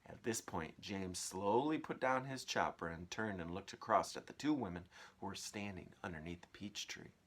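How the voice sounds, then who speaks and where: Animated man reading a story aloud. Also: low voice, moderate pace. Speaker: teacher reading aloud to pupils. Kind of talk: read-aloud storytelling with casual asides.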